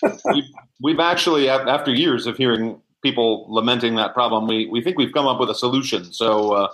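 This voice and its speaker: A man's voice: medium pitch, fairly quick, fairly bright tone, poor audio. A man speaking as an interview guest, giving casual explanations in conversation. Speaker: interview guest, society co-founder.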